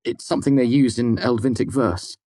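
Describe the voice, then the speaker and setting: A man's voice: medium pitch, brisk, dark tone, dry recording, clean studio sound, voice pushed up, lightly acted. A man voicing an earnest, bookish young man. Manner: earnest, slightly flustered.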